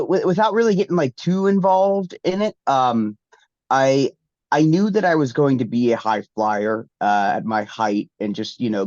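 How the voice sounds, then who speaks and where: A man's voice: medium pitch, darkish tone, no room sound. A man, a wrestler getting over the flu, sounding congested and answering interview questions in a rambling way with many ums and restarts. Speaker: guest wrestler. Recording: dull, dry lo-fi sound.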